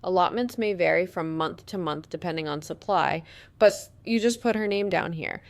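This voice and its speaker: A woman's voice: fairly low pitch, moderate pace, dry recording, lightly acted. A woman playing a helpful, orderly pantry keeper in an audio drama, explaining things matter-of-factly. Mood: orderly, explanatory.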